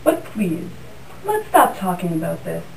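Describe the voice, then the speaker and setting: A woman's voice: lowish voice, wildly swooping pitch, measured pace, gravelly, darkish tone, exaggerated voice. A young woman voicing a proud, vain male rooster in a class puppet show, with stately old-fashioned phrasing. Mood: tender. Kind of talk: school puppet show acting. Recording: roomy sound from a distant mic.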